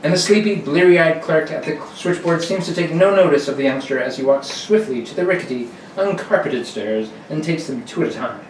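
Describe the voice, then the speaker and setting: A man's voice: a little high, lively intonation, brisk, hardly any pauses. A man narrating a radio serial in a theatrical 1940s announcer style. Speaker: radio drama narrator and actor. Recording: echoey noisy room, distant mic.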